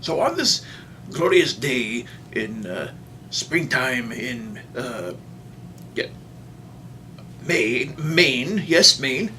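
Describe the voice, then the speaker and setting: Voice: medium pitch, sing-song, bright, forceful tone, a little hiss or hum, full character voice. A performer voicing a doddering, folksy old man who rambles and stammers through a comic storytime monologue. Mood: rambling, reminiscing. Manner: rambling, halting, stammering old-timer delivery. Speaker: storyteller, show host.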